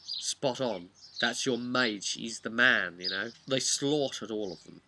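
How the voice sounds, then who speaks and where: A man speaking as a game master, casually recapping a tabletop role-playing session in a halting, conversational way with emphasis on key words. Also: mid-range voice, noisy background.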